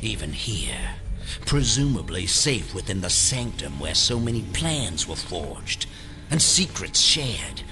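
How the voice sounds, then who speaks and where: Slow, sinister older conspiracy boss. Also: male voice, fairly low pitch, heavily acted. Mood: confessional. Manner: formal, deliberate, ominous. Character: solemn, menacing older male conspiracy leader, confessing. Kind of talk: audio drama monologue.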